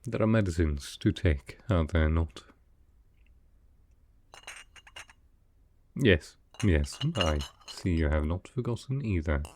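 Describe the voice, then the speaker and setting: A man playing a sickly, proud, melancholy aristocrat in a roleplay audio drama, speaking softly in a formal, measured, dryly reserved way. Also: expressive, slight background noise. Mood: weary, wry. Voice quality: low, soft, with a cough.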